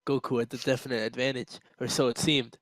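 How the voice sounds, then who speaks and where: A man's voice: mid-range voice, level tone, fast talker, a bit bright, no echo, rough recording. A man reads a story aloud in a casual, amateur way. He stumbles a little and sounds amused, and the recording is dry with very little room sound. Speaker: amateur reader.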